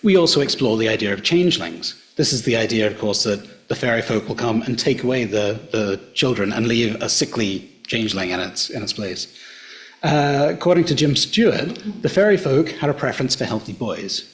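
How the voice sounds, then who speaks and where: A middle-aged man with an Australian accent, giving a public talk in a hotel function room and retelling local folklore in a measured storyteller's way. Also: medium pitch, animated. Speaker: local history presenter.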